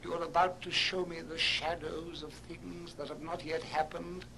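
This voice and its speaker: An actor playing a fearful, humbled old miser with halting, awed, theatrical speech in an old radio drama. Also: male voice, on the high side, level tone, gravelly, bright, forceful tone, a little hiss or hum, poor audio.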